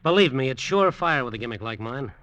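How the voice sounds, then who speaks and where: Male actor for bitter, scheming hustler in old radio drama; hard-boiled, slangy delivery, average pitch. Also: expressive, fairly quick, fairly bright tone, dry recording, highs cut off, lightly acted. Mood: eager, pitching. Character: scheming, embittered hustler narrating his island venture. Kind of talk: 1940s-50s radio drama acting and first-person narration. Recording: old radio broadcast recording, music behind.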